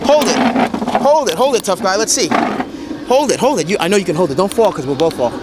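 A man's voice: high voice, expressive, fast. A man giving an informal hands-on demonstration, talking insistently and repeating short commands. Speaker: product demonstrator at a convention booth. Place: convention booth in large indoor hall. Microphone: camera a few metres away. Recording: busy convention hall crowd noise.

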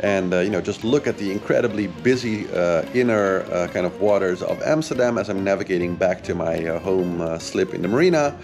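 A man's voice: low voice, a little fast, few pauses. A man in his thirties, a sailing vlogger and charter captain, giving an enthusiastic, casual product review from a sailboat, with frequent fillers. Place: on a sailboat, outdoors. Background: music.

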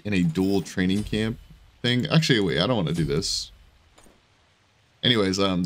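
A man with a hoarse, scratchy voice giving casual, rambling commentary over his own gameplay, full of fillers and restarts. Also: fairly low pitch. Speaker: gaming video creator.

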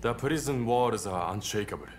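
A man performs a sly samurai schemer for a video game. The voice is fairly low, dry with sarcasm and calculating. Music is clearly heard underneath. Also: lively intonation, moderate pace, close to an everyday voice. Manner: sardonic, calculating. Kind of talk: game cutscene acting.

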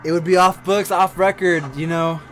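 A young man streams a game from home, throwing out loud, crude jokes as he plays. His voice sits high for a man and comes through a close studio mic, with faint game music beneath. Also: fairly even intonation, normal speed. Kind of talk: casual reaction commentary. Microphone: studio condenser on arm.

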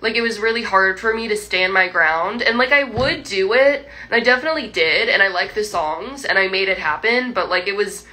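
Young woman rambling on a phone livestream, bright clear voice, lots of likes and little laughs. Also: medium pitch, brisk, few pauses. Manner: rambling, many likes and restarts, laughs often. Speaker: musician livestreaming to fans. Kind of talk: casual chat with viewers. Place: at home.

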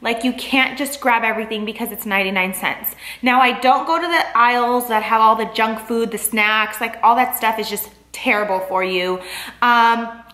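Young female vlogger, chatty and rambling, fairly high voice, roomy camera-mic sound. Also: moderate pace, pushed and bright. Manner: bubbly, rambling, lots of "like" and "um". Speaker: lifestyle vlogger. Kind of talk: casual chatty vlog. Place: store aisles, then a home living room.

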